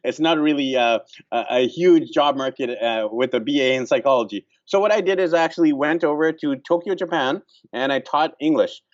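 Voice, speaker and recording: A man from Vancouver, explaining things as a host in a casual, halting way full of fillers. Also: fairly high pitch, dull audio. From Vancouver, Canada. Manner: many fillers, pauses to restart sentences.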